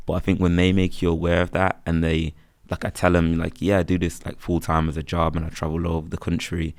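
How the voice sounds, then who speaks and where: A young man with a Birmingham accent, chatting in a relaxed, rambling way as an interview guest. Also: deep voice, fast talker, dry recording, clean studio sound. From England. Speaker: guest, freelance photographer. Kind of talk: casual interview chat.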